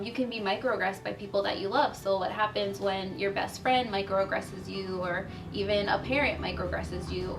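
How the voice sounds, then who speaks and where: A woman's voice: mid-range voice, creaky, recorded from a distance. A woman who works in student support, explaining conversationally with everyday examples. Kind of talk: documentary interview.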